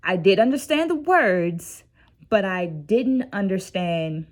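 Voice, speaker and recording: A woman's voice: mid-range voice, lively intonation, takes it slowly. A middle-aged woman who works as a dance educator, talking in a casual, reflective vlog with a teacherly manner, often checking in with the listener, and sounding tired. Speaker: dance educator and video host.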